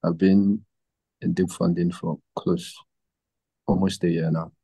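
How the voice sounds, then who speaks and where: A man's voice: deep voice, flat delivery, measured pace, creaky, mellow, slightly rough, no room sound. A man from Nigeria introducing himself as a participant on an online call. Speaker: community meeting participant.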